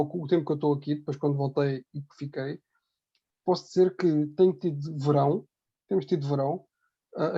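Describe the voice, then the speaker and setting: A man's voice: on the high side, creaky, warm tone, breathy edge, highs cut off. A man with a European Portuguese accent talking casually as an interview guest, rambling and repeating his phrases. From Ribatejo, Portugal. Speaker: interview guest, emigrant hospital worker. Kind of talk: casual conversational testimony.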